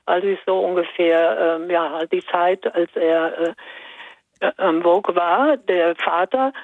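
A woman in her eighties from Italy, whose first language is Italian, calls in to a radio show and speaks hesitantly with many pauses and fillers. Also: fairly low pitch, dry recording. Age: 80s. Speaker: caller. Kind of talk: radio call-in. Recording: dry phone line.

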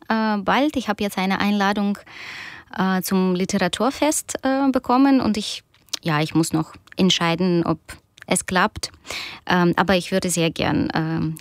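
A woman in her thirties whose first language is Russian, speaking German slowly and carefully. She gives considered answers, full of pauses and small fillers. The recording is close and dry, like a studio microphone. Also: medium pitch, dry recording. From Ukraine. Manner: thoughtful, many pauses and fillers. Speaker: guest author and doctor. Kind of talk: radio interview answers.

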